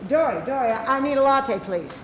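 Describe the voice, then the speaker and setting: A woman's voice: high voice, animated, brisk, muffled recording, slight background noise. A woman playing an earnest, devoted believer in a radio play, speaking hesitantly as she searches for words. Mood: brisk, friendly. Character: earnest, devoted woman convinced by a new leader.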